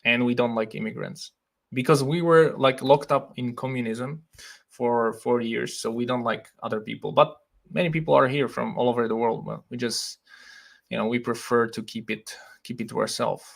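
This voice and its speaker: A young Slovak man with a Slovak accent, hosting a casual livestream, reading comments and thinking aloud in a relaxed way with frequent laughs. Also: mid-range voice, normal speed, gravelly. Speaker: livestream host.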